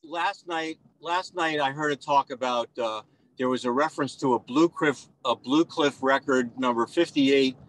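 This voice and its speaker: A man with a mid-range voice and a dry sound, speaking as a questioner on a video call. His delivery is halting and hesitant, with repeated word starts as he thinks aloud. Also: mid-range voice, a bit bright, no echo, poor audio. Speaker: audience member asking questions. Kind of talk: spontaneous question in a group discussion.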